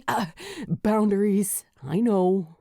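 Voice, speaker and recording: Storybook role, female narrator playing him: devoted young male ghost, nervous and hesitant, soft relaxed tone. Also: medium pitch, vocal fry, no room sound, clean studio sound. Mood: sheepish. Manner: hesitant, halting.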